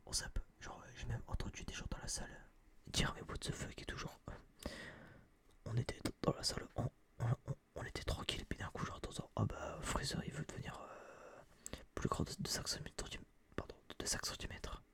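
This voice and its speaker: A young man whispering a casual, opinionated ASMR review in a breathy voice, rambling and slangy, close to a binaural microphone. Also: moderate pace, fairly bright tone. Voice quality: breathy, mostly unvoiced whisper. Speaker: host of an ASMR film review video.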